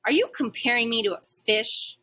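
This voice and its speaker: A woman's voice: a little high, moderate pace, bright, forceful tone, slightly rough, pitched above the performer's own voice. A woman voicing a big, haughty female dog in a storybook, growly in temper, sceptical and scornful. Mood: indignant.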